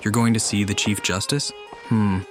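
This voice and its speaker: A man with a very low voice gives casual commentary on a game he is playing. Clear game music plays behind him. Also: steady tone, normal speed. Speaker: game streamer reacting to cutscenes.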